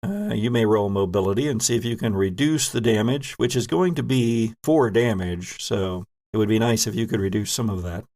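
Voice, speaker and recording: A man narrating a horror tabletop game as game master, telling the story deliberately and dramatically with pauses. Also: lowish voice, a little mellow, no room sound, studio quality.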